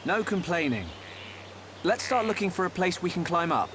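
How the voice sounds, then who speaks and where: A man's voice: high voice, big pitch swings, normal speed, noisy background. A man playing an earnest, determined young hero in a game, speaking politely with a British accent.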